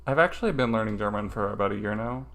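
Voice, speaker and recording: Casual streamer, man with a lowish voice, mulls things over aloud and hesitates a lot. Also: expressive, fast, fairly bright tone. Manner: thinking aloud, hesitant with many ums. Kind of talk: casual livestream study session.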